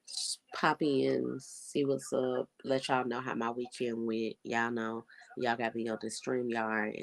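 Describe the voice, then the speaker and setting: A young adult with a congested, stuffy nose talks at a middle pitch with a gravelly edge. The delivery is laid-back and rambling, full of fillers, like a casual vlog check-in. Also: fairly bright tone. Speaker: vlogger.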